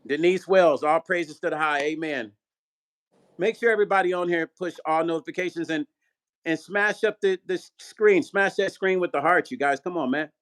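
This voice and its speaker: A man's voice: fairly high pitch, moderate pace, fairly bright tone. A middle-aged man hosting a casual livestream, reading viewer comments aloud and urging people to tap the screen, in an upbeat, repetitive way. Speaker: livestream host.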